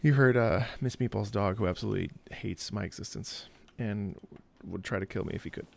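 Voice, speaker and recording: A man giving playful, expressive casual commentary as he streams a game, talking to his chat. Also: lowish voice, brisk, gravelly. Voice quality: trained singer's voice.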